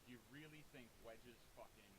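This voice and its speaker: A man's voice: mid-range voice, normal speed, very noisy. A man arguing confrontationally and swearing often in a heated group conversation. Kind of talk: heated group voice call argument.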